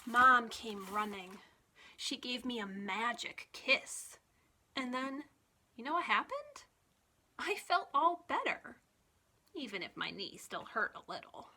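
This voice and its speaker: Female storytime reader: unhurried, highly expressive, slightly high voice, clearly addressing small kids. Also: a little hiss or hum. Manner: expressive, deliberate phrasing for young listeners. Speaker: children's librarian reading aloud. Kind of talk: animated children's storytime read-aloud.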